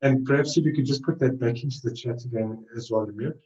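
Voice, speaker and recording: A man's voice: mid-range voice, fast, warm tone, dull audio. South African man on a distant, echoey mic. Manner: loose, rambling delivery with frequent self-corrections. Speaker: trading webinar presenter. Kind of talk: live webinar presentation.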